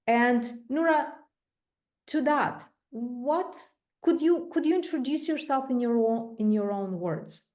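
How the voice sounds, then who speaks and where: Woman host, unhurried delivery, effusive and deliberate with guests. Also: a little high, a little mellow. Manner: slow, deliberate, effusive praise. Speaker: podcast host and co-founder. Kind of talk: podcast interview.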